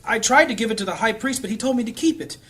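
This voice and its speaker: An actor playing an earnest, solemn priest in a fantasy audio drama, speaking gravely. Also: male voice, very high voice, animated, fast, fairly bright tone. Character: earnest, guilt-ridden priest, a solemn holy man.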